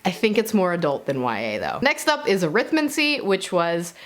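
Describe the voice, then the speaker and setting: A woman's voice: fairly low pitch, very animated, moderate pace, a bit bright. A woman giving a casual, chatty book review to camera, informal and conversational, with playful asides and self-corrections.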